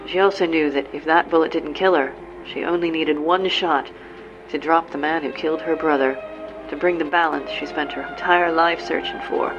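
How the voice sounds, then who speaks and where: A woman narrating a western tale in a measured, dramatic storytelling manner. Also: low-pitched, fairly even intonation, natural voice. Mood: solemn. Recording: dull lo-fi with music.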